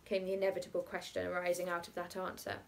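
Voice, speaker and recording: A young adult woman giving an expressive scripted narration of a short story, recorded indoors. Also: on the low side, fast, vocal fry. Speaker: actor reading a short story.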